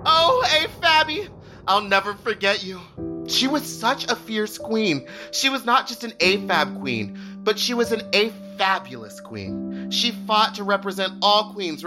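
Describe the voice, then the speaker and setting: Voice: mid-range voice, natural voice. An actor playing a melodramatic, over-emotional drag queen contestant in a campy comedy radio play, gushing and overblown. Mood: wailing, distraught, then solemn tribute. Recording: loud music bed behind the voice.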